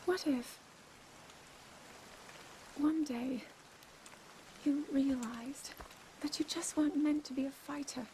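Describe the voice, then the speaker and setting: A woman's voice: high voice, fairly even intonation, takes it slowly, long pauses, dark tone, a little hiss or hum, low-quality recording. A woman playing an earnest, scholarly young fantasy princess in a video game, with a British accent and formal, precise diction. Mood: reflective. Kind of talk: dramatic video game cutscene acting. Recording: music behind the voice.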